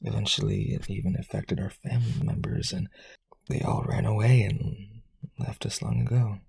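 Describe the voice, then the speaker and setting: A man playing a lonely vampire aristocrat in a romantic audio roleplay, pleading earnestly and haltingly in a breathy, husky voice. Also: fairly even intonation, a little mellow, slight background noise. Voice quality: husky, breathy low voice, often near whisper.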